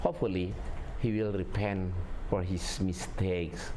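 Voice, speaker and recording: An older man giving a formal, measured rebuttal in a studio, speaking into a lapel mic. His voice is played back over a speaker. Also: deep voice, fairly even intonation, background noise. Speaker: guest speaker in a played video. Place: studio with a logo backdrop.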